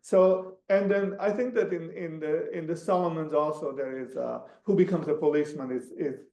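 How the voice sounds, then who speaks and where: Gravelly man, Hungarian native, echoey room. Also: on the high side, fairly even intonation, dark tone. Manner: halting, many restarts and fillers. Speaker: guest speaker. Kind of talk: academic talk answering questions. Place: lecture room.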